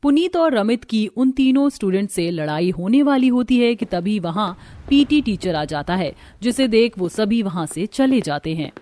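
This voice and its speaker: Woman narrating a scripted story, medium pitch. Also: lively intonation, moderate pace, dark tone.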